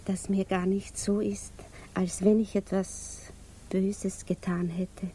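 An actress playing a naive, lovestruck and anxious woman in a 1950s German radio drama, confiding hesitantly and often slipping into breathy whispers. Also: mid-range voice, level tone, takes it slowly, warm tone, a little hiss or hum, natural voice. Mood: shyly elated.

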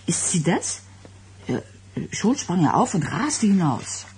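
An actress playing an anxious, self-doubting young expectant wife in a German radio drama, speaking in a halting, hesitant way. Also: female voice, on the low side, sing-song, a little mellow. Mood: unsettled, embarrassed. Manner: hesitant, halting, repeating words. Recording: radio play with background noise.

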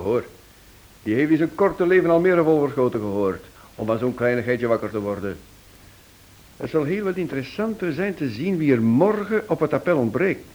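An actor playing a courteous, self-assured detective, urbane and lightly ironic, in a radio play. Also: male voice, mid-range voice, a little mellow, close to an everyday voice, poor audio. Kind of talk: radio detective drama acting.

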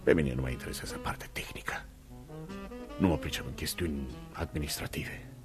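A wealthy, domineering older father-in-law in a radio play. His voice is exceptionally deep, his delivery blunt, deliberate and commanding. Music plays clearly behind him. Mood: brusque, businesslike. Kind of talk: radio theatre acting.

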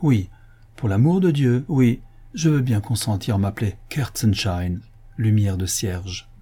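A man's voice: lowish voice, unhurried, dark tone, husky. A man voicing a humble, thrifty father in a storybook reading, plaintive, deferential and earnest. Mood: resigned. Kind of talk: audiobook character acting.

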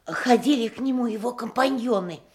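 A woman acting a talkative, simple witness in a Soviet radio drama. Her voice sits a little high. She speaks colloquially and sympathetically, hesitating as she recalls details. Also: normal speed, natural voice. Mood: pitying.

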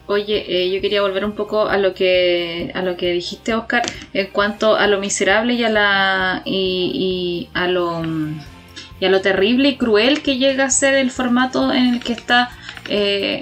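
A Chilean woman with a medium-pitched voice comments as a co-host. She sounds earnest and critical as she thinks aloud in a conversational way, over faint music. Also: fairly even intonation, moderate pace, a bit bright.

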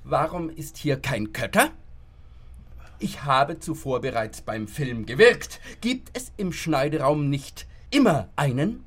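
An actor in a German radio drama playing a pompous, furious Nazi author who rants, threatens and talks of himself in the third person. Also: male voice, fairly high pitch, big pitch swings, takes it slowly, fairly bright tone, slightly rough, exaggerated voice. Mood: haughty, indignant.

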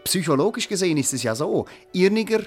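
A man acting in a radio drama as a formal, probing official, speaking in a polite, measured and pedantic way. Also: high-pitched, very animated, soft background music. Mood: pedantic, reflective.

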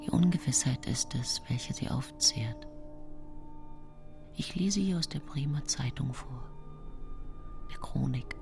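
An actress playing a scheming, sensual nineteenth-century widow in a radio drama monologue, intimate and breathy in her confiding. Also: very deep voice, slow, long pauses, in a whisper, exaggerated voice. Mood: subdued. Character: scheming early-19th-century German widow, sensual and self-satisfied. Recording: music bed behind the voice. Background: music.

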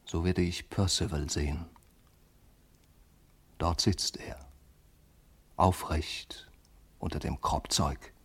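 An actor playing a rebellious, disdainful schoolboy in a radio drama, with a pointed delivery of shifting intensity. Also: male voice, rumbling low, fairly even intonation, slow pace, long pauses, a bit bright, breathy edge, in a whisper, exaggerated voice. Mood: furtive, admiring. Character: rebellious, disdainful schoolboy, secretly admiring a classmate. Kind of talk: literary radio drama monologue.

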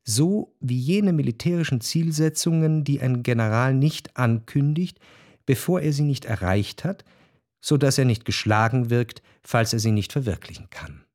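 A man narrating literary prose for a radio drama in measured, deliberate phrases. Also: medium pitch, mellow, no echo. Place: radio studio. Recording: dry studio with music behind.

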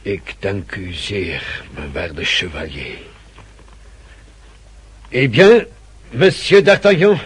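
A haughty French nobleman in a radio costume drama. He speaks extremely slowly and with ceremonious courtesy, his husky voice swinging widely in pitch. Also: big pitch swings, a little hiss or hum, heavily acted. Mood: icy courtesy. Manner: slow, deliberate, ceremoniously polite. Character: haughty French count surrendering his sword after a duel. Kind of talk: period radio drama acting.

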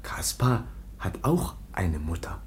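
A man playing a bewildered teenage foundling in a radio play, speaking in halting, simple, childlike phrases. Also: sits low, sing-song, in a whisper, exaggerated voice. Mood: dreamy, hesitant. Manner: halting, broken childlike speech in simple words. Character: childlike, bewildered foundling youth of about seventeen. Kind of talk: German radio play acting.

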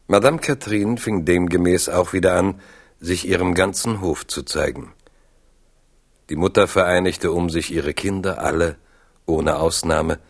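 An actor narrating a historical radio play as a grave, ironic storyteller, in a measured literary manner. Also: male voice, low voice, breathy edge.

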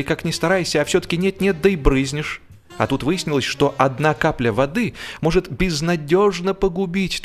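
A man narrating a humorous story expressively, with a wry, theatrical manner and deliberate pauses. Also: medium pitch. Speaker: audiobook narrator.

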